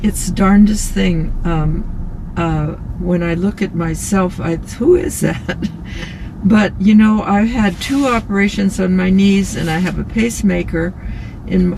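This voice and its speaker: A woman in her nineties chatting as a passenger in a car, soft-spoken and rambling, with pauses. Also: lowish voice, vocal fry. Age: 90s. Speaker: interviewee, passenger. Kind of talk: casual chat. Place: inside a car on city streets. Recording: loud road noise from a car. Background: car and traffic noise.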